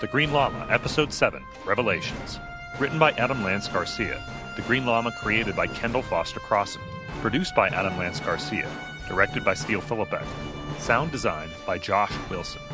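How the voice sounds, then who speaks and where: Male announcer for radio serial credits: lowish voice, scripted read, clear music bed. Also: animated, moderate pace. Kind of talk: scripted narration.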